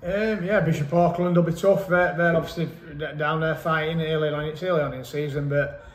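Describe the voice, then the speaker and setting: A man, a football manager giving a measured, matter-of-fact post-match interview with frequent hesitant ums. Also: fairly high pitch, steady tone. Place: small indoor club office. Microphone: camera mic at close range. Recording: echoey room, faint background noise.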